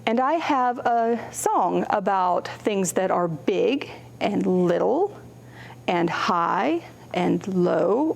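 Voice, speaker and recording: A woman hosting a children's storytime, reading and explaining in simple, deliberate phrases for young children. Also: mid-range voice, creaky, a little mellow.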